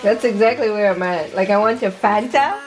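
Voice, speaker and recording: A woman's voice: mid-range voice, fairly quick. Zambian woman chatting in an echoey room. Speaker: family video creator, radio guest. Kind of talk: casual interview chat. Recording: echoey room with faint music.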